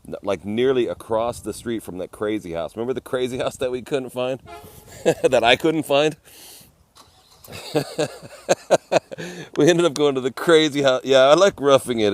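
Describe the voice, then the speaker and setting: Laid-back man chatting on a livestream. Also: fairly low pitch. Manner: greets viewers by name, rambling and laughing. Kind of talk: casual live-stream chat. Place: outdoors at a garden cafe.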